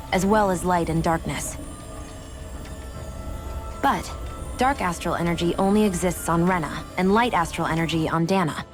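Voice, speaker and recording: A woman's voice: fairly low pitch, close to an everyday voice. An actress playing a proud, blunt young woman fighter in a fantasy anime game, curt and matter-of-fact. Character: cool, blunt young female sharpshooter, proud and condescending. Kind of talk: English-dubbed anime game voice acting.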